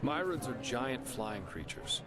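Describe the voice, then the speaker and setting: An actor playing a chatty, wry, easygoing adventurer in a fantasy game, explaining things to a newcomer in a casual, jokey manner. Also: male voice, mid-range voice, sing-song, unhurried. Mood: friendly, teasing. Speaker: travelling companion and guide character. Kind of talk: scripted fantasy game dialogue.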